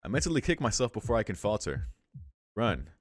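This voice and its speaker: A man's voice: lowish voice, sing-song, brisk, dry recording, studio quality. A man reading visual novel narration aloud as a let's play host, with casual asides.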